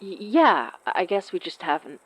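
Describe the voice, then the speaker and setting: A woman playing an awkward, reticent character in a naturalistic audio drama, speaking haltingly. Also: lowish voice, sing-song, husky, rough recording.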